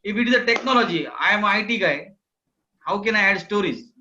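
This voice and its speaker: A man's voice: high voice, animated, brisk, pushed and bright, a little hiss or hum. A man hosting an interview, asking conversational questions. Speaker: interviewer, host. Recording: dull, lo-fi online audio.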